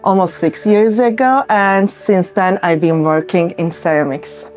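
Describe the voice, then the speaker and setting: A woman's voice: on the low side, unhurried, a little mellow, soft background music. A woman from Turkey with a Turkish accent, chatting informally as an artist interviewed about her work, often voicing her own thoughts as quotes. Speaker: ceramic artist, interview guest.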